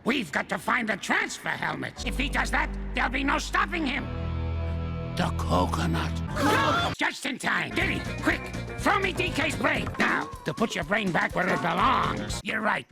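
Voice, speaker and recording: An actor playing a grumpy elderly male ape inventor with a gravelly, creaky old voice, gruff and bossy. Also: sits high, huge pitch swings, moderate pace, exaggerated voice. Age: older. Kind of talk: cartoon voice acting. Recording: music playing underneath.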